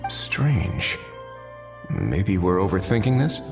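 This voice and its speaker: An actor playing a watchful, composed government investigator in a video game, with a measured, dryly polite manner. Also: male voice, sits low, sing-song, creaky, loud music behind the voice, exaggerated voice. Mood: watchful musing.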